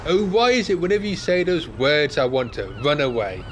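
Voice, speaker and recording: Radio play: worried, querulous old fellow male actor, very high for a man, swooping exasperated delivery. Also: very animated, a little fast, a little hiss or hum. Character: anxious, fretful older male care-home resident, reluctant sidekick. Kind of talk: amateur radio play acting.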